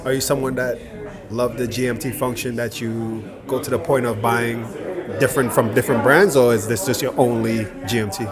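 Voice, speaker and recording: A male host chats easily with collectors at a boutique event, dropping quick yeahs and for sures. His voice sits at an ordinary middle pitch. A busy crowd murmurs all around him. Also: fairly even intonation. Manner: friendly, agreeable, lots of short affirmations. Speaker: interviewer and channel host. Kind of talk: casual event interview. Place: indoor watch boutique event. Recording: voices over a chatty crowd.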